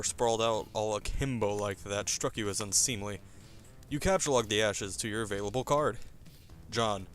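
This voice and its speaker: A man with a slightly low voice narrates a web comic. His delivery is theatrical and wry, with faint music underneath. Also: fairly bright tone. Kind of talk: dramatic scripted narration of a comic.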